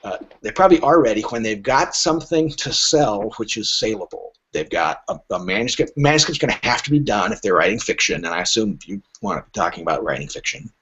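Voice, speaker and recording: Animated, frank middle-aged man, dull lo-fi sound. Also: lowish voice, fast, hardly any pauses, husky. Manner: frank, emphatic, acts out imagined dialogue. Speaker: literary agent interviewed as a podcast guest. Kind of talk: conversational interview answers. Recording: dull lo-fi podcast audio.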